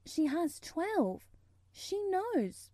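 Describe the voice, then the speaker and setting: A woman's voice: very high-pitched, very animated, very slow, mellow, no room sound, voice pushed up, heavily acted. A woman voicing a timid, earnest little girl with a tremulous, pleading manner in a storybook reading. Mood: nervous, earnest.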